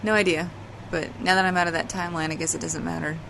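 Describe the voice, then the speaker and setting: A woman's voice: on the low side, level tone, quick pace, gravelly, noisy background. An actress playing a brash, sardonic woman in a casual, slangy comedy audio drama. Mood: dry, casual. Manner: sarcastic, casual, slangy, swearing. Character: brash, foul-mouthed, sardonic woman from another timeline.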